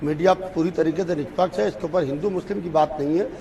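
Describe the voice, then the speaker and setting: Cleric guy on a tv debate, calm and slow to the point, slightly high voice, faint hiss behind. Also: creaky, muffled recording. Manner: calm, deliberate, repeats affirmations. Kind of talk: measured TV debate statement.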